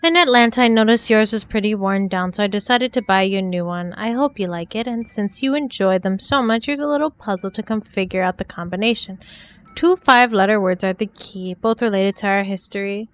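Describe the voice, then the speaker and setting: A young woman from the 1940s pours her heart into letters to a secret love, as a woman reads them aloud in a game. Her voice is smooth and clear, of middling pitch, and she reads softly and sincerely. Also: fairly quick, lightly acted. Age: young adult.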